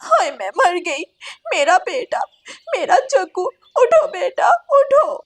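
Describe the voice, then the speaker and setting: High female voice, long-suffering crow mother, complaining, sarcastic, moral-story cartoon acted by a woman. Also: very animated, takes it slowly, heavily acted. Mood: distraught. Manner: plaintive, sarcastic.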